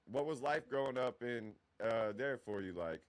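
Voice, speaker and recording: A man's voice: medium pitch, expressive, moderate pace. A man in his mid-twenties hosting a podcast, asking questions in loose, rambling casual talk. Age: 20s. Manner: loose, rambling, lots of "you know what I mean". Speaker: podcast host. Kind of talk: casual interview chat.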